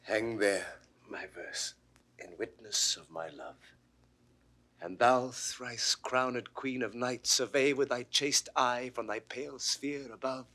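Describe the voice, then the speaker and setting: A young romantic poet with a British accent, ardent and ringing. He declaims verse slowly and lyrically, savouring each word in the classical style of a 1960s recorded play. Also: medium pitch, pushed and bright. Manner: declamatory, lyrical, lingering on words. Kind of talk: classical Shakespearean verse acting. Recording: 1960s studio audio drama recording.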